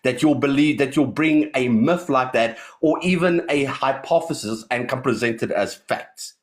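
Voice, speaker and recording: A man's voice: mid-range voice, normal speed. A man giving an indignant, confrontational rebuttal in an online debate. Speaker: debate participant.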